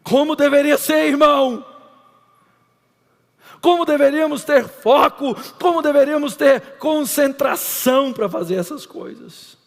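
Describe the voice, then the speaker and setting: A middle-aged Brazilian man with a Brazilian Portuguese accent, preaching an emphatic lecture-style sermon that swings between loud and hushed. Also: very high-pitched, measured pace, vocal fry. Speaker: pastor. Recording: reverberant hall.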